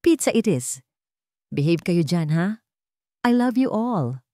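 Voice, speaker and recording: A woman's voice: on the low side, big pitch swings, slow, dark tone, dry recording, studio quality. A woman voicing a sweet, loving mother in a radio drama, cheerful and affectionate as she speaks to children. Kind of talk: Tagalog radio drama storytelling.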